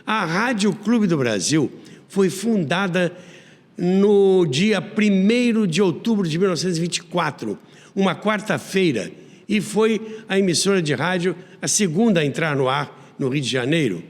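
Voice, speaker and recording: A Brazilian man with a fairly high voice narrates as a podcast host. His delivery is emphatic and lively, in old-school announcer style, with long reverb around the voice. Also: a little slow. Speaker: radio history podcast host. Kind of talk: storytelling narration.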